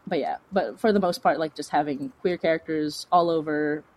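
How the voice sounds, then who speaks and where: A woman talking casually as an interview guest, in an informal, animated, rambling way with a giggly streak. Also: low-pitched, moderate pace. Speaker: podcast creator and writer being interviewed.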